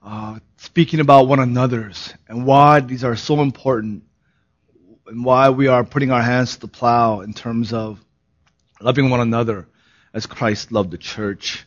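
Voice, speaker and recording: A man who is a pastor giving a sermon, hoarse in his voice, moving from a laughing, relaxed opening into earnest, solemn preaching. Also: mid-range voice, unhurried, lo-fi sound.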